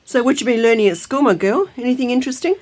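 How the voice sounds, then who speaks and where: Husky, no-nonsense old Australian nana. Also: female voice, on the high side, expressive, fast talker, a little mellow. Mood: affectionate. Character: no-nonsense old Australian grandmother, brisk, dry-humoured. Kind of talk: naturalistic audio drama acting.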